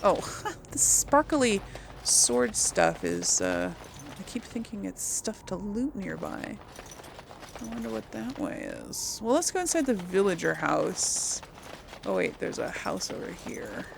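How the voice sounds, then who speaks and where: A woman's voice: medium pitch, vocal fry, background noise. A woman doing casual let's play commentary, chatting and musing aloud as she plays. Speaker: game streamer, role-player. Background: game ambience.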